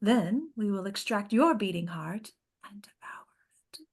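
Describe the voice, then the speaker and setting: A woman playing a sinister ancient madame who leads a cult ritual in a horror script read, with drawn-out, theatrical menace. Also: mid-range voice, expressive, a little mellow, slightly rough. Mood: ominous.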